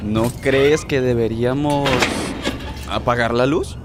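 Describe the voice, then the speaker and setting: An actor playing a troubled, soft-hearted police guard in a radio drama, speaking in a hesitant, questioning way. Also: male voice, medium pitch, steady tone, slow, heavy background noise, close to an everyday voice. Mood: uneasy.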